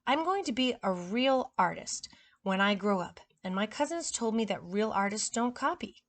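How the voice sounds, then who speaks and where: A woman's voice: medium pitch, lively intonation, normal speed, natural voice. A woman voicing an earnest, stubborn first grade boy from a storybook, insistent and indignant.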